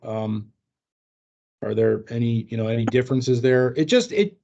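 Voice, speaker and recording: A man with a mid-pitched voice leads an informal work training over an online call. He explains things haltingly and hedges as he goes. The audio is dull and lo-fi. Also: lively intonation, gravelly, dark tone, a little hiss or hum. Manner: hesitant, halting, hedging. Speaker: trainer leading an internal staff training. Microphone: video call microphone.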